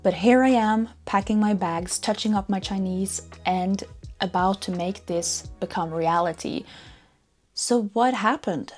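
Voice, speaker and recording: A woman's voice: mid-range voice, measured pace, faint music behind. A woman in her twenties from Norway with a Norwegian accent, narrating her own story in an earnest, upbeat way. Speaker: vlogger. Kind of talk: scripted personal narration.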